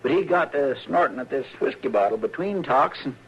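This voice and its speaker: Casting: rambling, bumbling frontier sidekick, rural Western American accent, medium pitch with creaky edge, muffled old radio western. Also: male voice, expressive, a little fast. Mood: sheepish storytelling. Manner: hesitant, rambling, deferential. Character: folksy, good-natured, bumbling marshal's helper.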